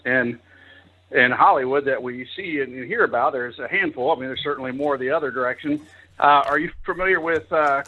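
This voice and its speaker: A man calling in to ask a question, hesitant, with many pauses and fillers. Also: mid-range voice. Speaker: caller. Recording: tinny phone line.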